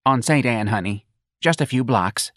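Man doing a fussing older mother, pitched up. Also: moderate pace, fairly bright tone, no room sound, studio quality, lightly acted. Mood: plaintive, fussing. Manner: colloquial, ungrammatical, plaintive. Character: plain-spoken, fussing older working-class mother.

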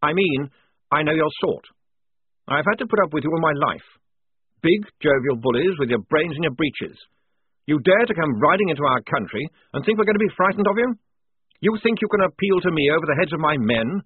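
A prim storybook lieutenant, performed by a male reader, speaks in precise, righteous, excitable phrases. The pitch sits high and swings around a lot, in a narrow, tinny recording. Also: moderate pace, slightly rough, no echo, full character voice. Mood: defiant, indignant. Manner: precise, polite officer's diction turning indignant.

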